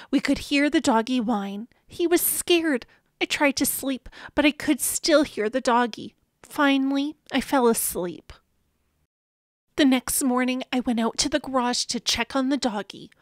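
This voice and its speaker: High-voiced woman reading a children's story. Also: no echo, clean studio sound. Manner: expressive, dramatic storytelling for small children. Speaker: storybook reader, channel host. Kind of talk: children's read-aloud narration.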